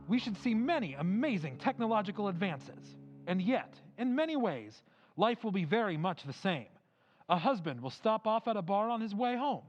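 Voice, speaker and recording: A man reads a classic radio sci-fi introduction with a grand, dramatic delivery. His pitch sits high and swings widely as he builds excitement. Music plays clearly behind him. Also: dull audio. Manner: booming, dramatic countdown delivery. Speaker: announcer. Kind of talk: 1950s radio announcer recreation.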